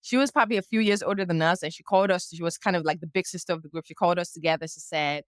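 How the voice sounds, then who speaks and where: A woman's voice: on the low side, very fast, no echo. A middle-aged woman raised in Nigeria, telling her life story as a podcast guest and acting out old conversations with animation. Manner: lively, animated storyteller who acts out past dialogue. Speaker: guest, publicist and coach. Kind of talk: casual storytelling interview.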